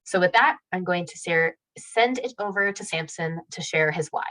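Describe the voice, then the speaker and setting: A woman's voice: on the low side, moderate pace, bright, forceful tone, poor audio. A woman speaking as host of an online event, giving prepared remarks to the audience.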